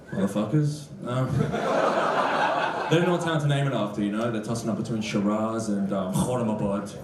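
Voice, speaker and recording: Male Australian stand-up, dry and conversational, live echoey room with audience. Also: medium pitch. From Sydney, Australia. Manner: dry, conversational joking with the crowd. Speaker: stand-up comedian. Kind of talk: live stand-up comedy set. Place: on stage in a comedy club. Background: crowd laughter.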